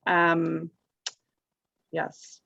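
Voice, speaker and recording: A woman's voice: on the low side, completely flat delivery, very slow, vocal fry, pushed and bright. A woman moderating an online roundtable, reading out audience questions in a friendly way. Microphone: video call. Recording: dry, dull lo-fi video call audio.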